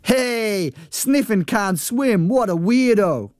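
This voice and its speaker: A sneering schoolboy bully, done by a man reading aloud, pitched well up and drawling his taunts slowly. He mocks every word he says. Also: animated, darkish tone, no echo. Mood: taunting. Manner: mocking, taunting.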